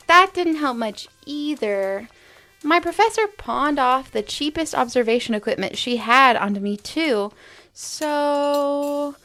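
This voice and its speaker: Young alien grad student lady, really high bright voice, flustered n rambly, audio drama. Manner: hesitant, rambling, apologetic. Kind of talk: audio drama comedy dialogue.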